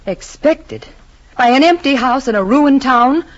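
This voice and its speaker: An actress playing a frank, chatty American officer's wife in a 1940s radio drama. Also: female voice, high-pitched, lively intonation, unhurried. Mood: insistent. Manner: chatty, candid, hesitant when advising. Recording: muffled old radio broadcast.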